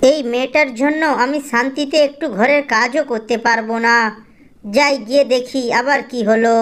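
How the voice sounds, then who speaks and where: A harried mother from a children's cartoon, played by a woman, with a high voice. She scolds curtly and sounds exasperated by constant demands. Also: fairly even intonation, normal speed, fairly bright tone. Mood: weary, annoyed. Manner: exasperated, curt. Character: harried, scolding poor widowed mother bird.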